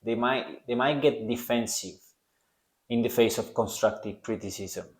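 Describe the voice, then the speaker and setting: A man's voice: mid-range voice, a little slow, roomy sound. A young man with a strong Italian accent, hosting a solo podcast in earnest, reflective talk aimed at the listener. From Italy. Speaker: podcast host.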